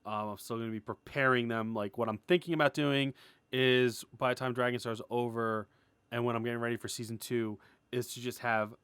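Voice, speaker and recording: Rambling, excitable man in a dry-sounding recording. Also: medium pitch, no echo. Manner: rambling, excitable, with stop-and-start phrasing. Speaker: animator and web series creator giving an update. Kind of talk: casual unscripted update vlog.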